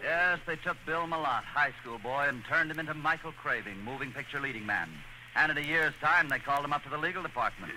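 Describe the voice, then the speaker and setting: Earnest male radio narrator, higher voice. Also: a little fast, music in the background. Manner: earnest, theatrical. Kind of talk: dramatic scripted narration, 1940s radio. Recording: noisy old radio broadcast.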